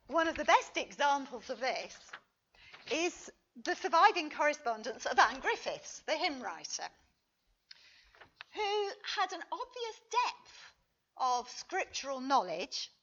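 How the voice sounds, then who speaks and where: High-pitched woman lecturing at a slow pace. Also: pushed and bright. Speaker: lecturer reading a conference paper. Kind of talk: scripted academic lecture read aloud. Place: conference talk. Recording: dull, muffled lo-fi recording.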